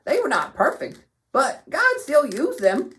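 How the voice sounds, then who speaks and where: A woman voicing an excitable, jokey young boy puppet in playful, exclamatory children's puppet show banter. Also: high-pitched, measured pace, voice pushed up, heavily acted. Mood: earnest, upbeat.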